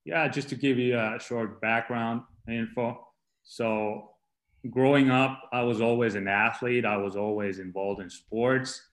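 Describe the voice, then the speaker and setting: A man's voice: mid-range voice, steady tone, measured pace. A man from Finland, a coach giving plain-spoken, explanatory answers as an interview guest. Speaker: podcast guest, strength and conditioning coach. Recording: roomy, echoing sound.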